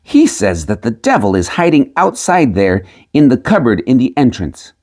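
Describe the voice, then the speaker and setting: A man voicing a sly peasant trickster in a storybook, with a measured, cunning delivery. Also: medium pitch, sing-song, a little mellow. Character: sly male peasant trickster selling prophecies.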